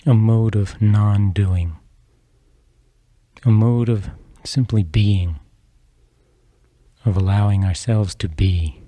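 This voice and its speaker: Very mellow, slow-paced male meditation guide. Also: fairly low pitch, no room sound. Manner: unhurried, measured phrases with long pauses.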